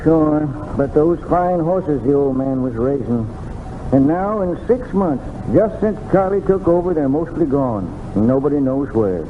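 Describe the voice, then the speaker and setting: An actor playing an earnest, suspicious American cowhand in an old-time radio western. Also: male voice, on the high side, expressive, unhurried, narrow, tinny audio. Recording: noisy, phone-thin old radio recording.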